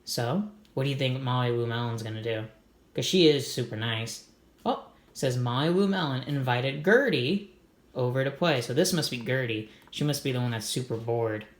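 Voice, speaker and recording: A man's voice: medium pitch, moderate pace, roomy sound. A man reading a children's storybook aloud, adding simple, encouraging comments for young listeners. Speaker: read-aloud host for children.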